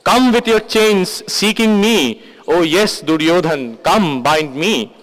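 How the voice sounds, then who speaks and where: A man's voice: sits high, lively intonation, slow pace, fairly bright tone, heavily acted, low-quality recording. A man reciting poetry as a wrathful god who reveals a vast cosmic form, in a solemn, commanding, declamatory manner.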